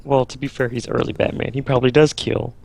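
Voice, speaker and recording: A man's voice: medium pitch, little pitch movement, quick pace, creaky, darkish tone, slight background noise. A man co-hosting a podcast, adding dry, wry remarks in casual gaming chat. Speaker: podcast co-host.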